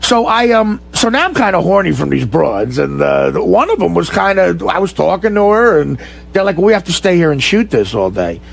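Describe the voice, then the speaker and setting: A man telling bawdy stories as a radio guest in a casual, halting, profane and joking way. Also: high-pitched, big pitch swings, creaky.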